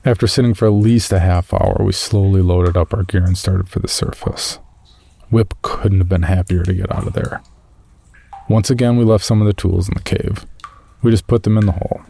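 A man's voice: low-pitched, fairly even intonation, moderate pace, gravelly, mellow, slightly rough, full character voice. A man playing an amateur caver, reading his uneasy journal as first-person horror story narration. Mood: measured, uneasy.

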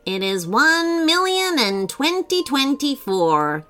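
A nervous robot inventor played by a woman in a children's storybook, self-pitying and apologetic. The pitch swings widely and the words are drawn out, full of pleading drama, with music behind. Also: big pitch swings, slow pace, a bit bright, heavily acted. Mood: uneasy, amazed.

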